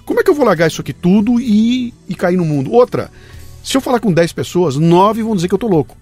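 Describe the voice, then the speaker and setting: A man's voice: high-pitched, sing-song, fast talker, darkish tone, breathy edge, dry recording, soft background music. A man hosting an interview podcast, emphatic and prone to repeating words. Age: 50s. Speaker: podcast host. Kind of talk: conversational interview.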